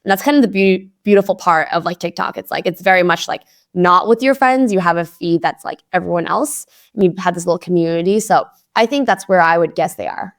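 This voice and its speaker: A woman's voice: lowish voice, a little fast, hardly any pauses. A young woman from Hong Kong, a venture investor, talking chattily as a podcast guest with lots of "like" fillers. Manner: chatty, upbeat, many "like" fillers. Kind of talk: casual podcast interview.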